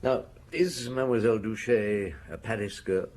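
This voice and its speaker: A man's voice: lowish voice, moderate pace, darkish tone, a little hiss or hum, lightly acted. An actor playing a patient, probing police detective in a radio crime drama, polite and persistent in his questioning. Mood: probing, calm.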